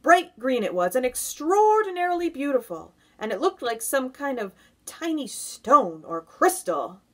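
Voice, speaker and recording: Storybook centipede, played by a woman: cocky male rascal, excitable and crowing, pitched up, swooping delivery. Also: unhurried, full character voice. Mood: excited.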